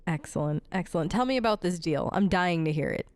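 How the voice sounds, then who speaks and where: A woman's voice: fairly low pitch, animated, brisk. A woman recording a role-play let's play of a video game, speaking into a close microphone.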